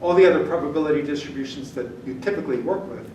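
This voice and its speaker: A man's voice: on the high side, racing, mellow. An older man whose first language is German, lecturing on statistics at a whiteboard in a casual, blunt way that keeps checking in with the class. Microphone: camera at the back of the room. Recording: echoey classroom from far off, noisy.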